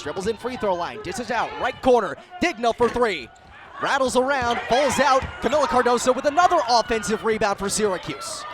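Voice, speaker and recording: An American man doing live radio sports play-by-play in emphatic, clipped phrases. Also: high-pitched. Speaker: play-by-play commentator.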